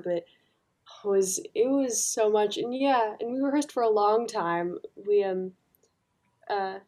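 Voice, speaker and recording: A woman actor speaking on a panel, reflective and a little hesitant, with frequent pauses. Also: medium pitch, dark tone. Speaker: actor, former cast member.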